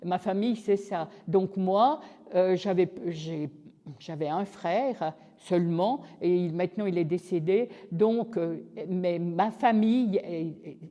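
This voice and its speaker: An older woman speaks in a low, mellow voice. She restarts her sentences often as she describes her family. Her words echo long in the room. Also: takes it slowly, lo-fi sound. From France. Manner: hesitant, with restarts. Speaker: interviewee. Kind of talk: personal life story. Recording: reverberant room.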